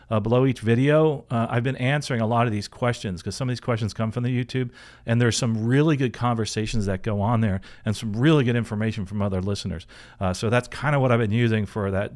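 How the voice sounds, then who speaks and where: Chatty man hosting an advice podcast. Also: mid-range voice. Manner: informal, chatty, conversational. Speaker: podcast host and career coach. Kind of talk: casual advice podcast, answering and reading listener questions.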